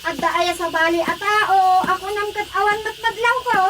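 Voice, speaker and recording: An actor as a shrill, very high-pitched villager. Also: moderate pace, pushed and bright, slight background noise. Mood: excited. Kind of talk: Ilocano radio comedy acting.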